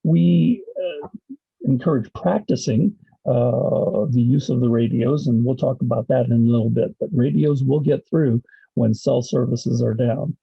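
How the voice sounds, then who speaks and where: A man presents informally, like an instructor explaining slides. His voice is husky with a noticeable creak, and he pauses often mid-sentence as he explains. The recording is somewhat dull and lo-fi. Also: mid-range voice. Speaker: college instructor and radio club advisor giving a presentation.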